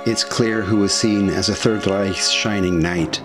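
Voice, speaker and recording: A man with a deep, low-set voice narrates a history documentary. His delivery is scripted, formal and measured, with passages of commentary. Quiet music plays underneath him. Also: fairly even intonation.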